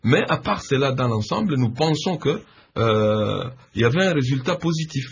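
A man answering radio interview questions, hesitant and measured with many fillers. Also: mid-range voice, expressive, gravelly, slightly rough. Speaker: civil society leader, interviewed guest. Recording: dull lo-fi radio line.

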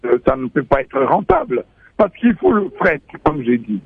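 A professor speaking as a guest on a radio show, emphatic and stammering at the start of points. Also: male voice, medium pitch, big pitch swings, moderate pace, gravelly, husky. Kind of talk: radio interview. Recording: thin phone-like line.

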